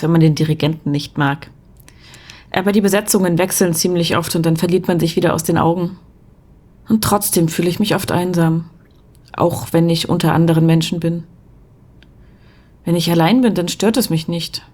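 Casting: lonely young Soviet woman musician, played by an actress, lowish and husky, soft, hesitant radio drama delivery. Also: close to an everyday voice. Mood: friendly. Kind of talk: intimate radio play dialogue.

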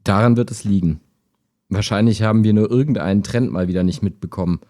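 Low, mellow man acting a wistful chatbot. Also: fast, lightly acted. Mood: pensive. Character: wistful, skeptical male chatbot talking like a human. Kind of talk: intimate German radio play dialogue.

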